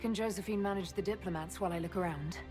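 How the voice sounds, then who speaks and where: A woman's voice: lowish voice, quick pace, natural voice. A woman playing a wry mage leader. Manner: wry, dry humor. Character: confident woman mage leader, dry wit. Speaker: player character, the Inquisitor. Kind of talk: video game cutscene dialogue. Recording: game audio with music.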